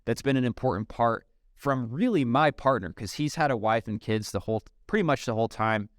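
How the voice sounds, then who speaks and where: A man, chatting casually as a podcast guest, relaxed and peppered with "um" and "dude". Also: medium pitch, a little fast, no room sound, studio quality. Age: 30s. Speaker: podcast guest, software company CEO. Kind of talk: casual interview conversation.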